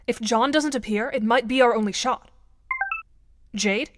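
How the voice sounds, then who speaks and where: A woman's voice: a little high, a little slow, noisy background, close to an everyday voice. A woman playing a composed, articulate but worried teenage girl with formal, dry wording in an amateur audio drama. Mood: composed, concerned.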